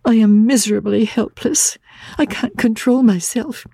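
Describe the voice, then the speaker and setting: A woman voicing an anguished, gentle young gentlewoman, earnest and halting, in a dramatic classic audiobook. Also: a little high, steady tone, gravelly, breathy edge, pitched above the performer's own voice, exaggerated voice. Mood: tearful, determined.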